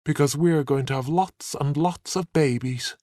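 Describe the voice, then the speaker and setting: A man's voice: a little high, normal speed, warm tone, no room sound, pitched above the performer's own voice, lightly acted. A man voicing an earnest, self-assured little boy in a storybook. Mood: quietly confident.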